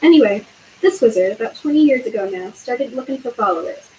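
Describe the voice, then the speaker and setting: A woman voicing a big, gruff but kindly male giant groundskeeper with a homely dialect, read aloud as a storybook. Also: fairly high pitch, lively intonation, a little fast, darkish tone, a little hiss or hum, natural voice, rough recording. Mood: worried.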